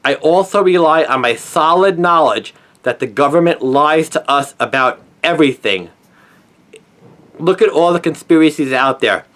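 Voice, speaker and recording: A middle-aged man speaks in a slightly high-pitched voice. He delivers a prepared commentary in an earnest way. His phrasing is deliberate, with firm emphasis on key words. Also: animated, fairly bright tone. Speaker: conspiracy commentator, former newspaper journalist. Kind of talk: scripted opinion monologue.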